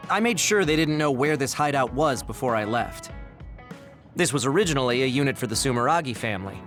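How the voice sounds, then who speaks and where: An actor playing a brisk, matter-of-fact young male tech operator in a video game. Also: medium pitch, expressive, fairly bright tone, soft background music, natural voice. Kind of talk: video game cutscene acting.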